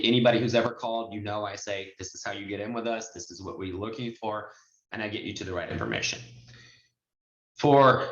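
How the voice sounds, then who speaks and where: A man's voice: medium pitch, fairly bright tone. A man giving an informal online training lesson, explaining step by step in an emphatic, conversational way as a trainer. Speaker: notary trainer and host. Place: indoors, online video call with screen share. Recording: muffled, roomy video-call audio.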